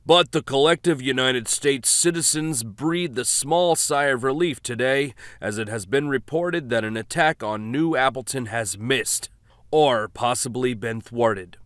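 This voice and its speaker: A man's voice: medium pitch, unhurried, a bit bright, no echo, studio quality, pitched above the performer's own voice, close to an everyday voice. A man voicing a grave, emphatic male radio news announcer within an audiobook. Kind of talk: news broadcast.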